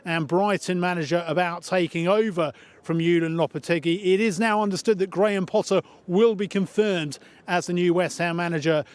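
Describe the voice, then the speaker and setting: A man presenting the sports news on a broadcast bulletin in a brisk, matter-of-fact way. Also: high-pitched, steady tone, clean tone.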